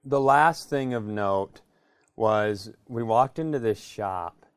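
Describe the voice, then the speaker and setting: A man's voice: fairly low pitch, slow pace, clean tone, no echo. Man telling a comic story, dry sound. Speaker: comedy podcast co-host.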